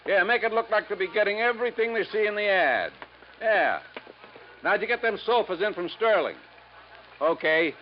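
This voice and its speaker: An actor playing a crooked, self-pitying middle-aged American furniture boss with blustering, slangy talk, in a 1950s radio drama. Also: male voice, high-pitched, lively intonation, moderate pace. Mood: brisk, scheming. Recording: dull, noisy 1950s radio recording.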